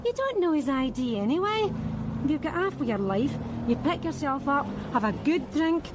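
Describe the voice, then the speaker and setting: Radio drama: a wry, blunt Scottish street worker, high voice, traffic noise behind. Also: female voice, sing-song, normal speed. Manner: blunt, wry, matter-of-fact. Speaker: street sex worker questioned by police.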